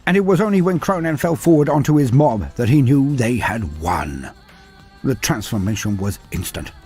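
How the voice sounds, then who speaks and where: Man, story narrator, husky voice, swooping animated intonation, dramatic telling, soft music underneath. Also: mid-range voice, normal speed.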